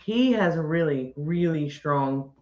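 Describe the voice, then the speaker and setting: A woman chatting on a homestead livestream, rambling and friendly in tone, often restarting her sentences. Her voice sits at an ordinary pitch. The audio is roomy and a little dull. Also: lively intonation, a little slow, darkish tone. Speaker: homestead channel co-host. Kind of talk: casual livestream chat.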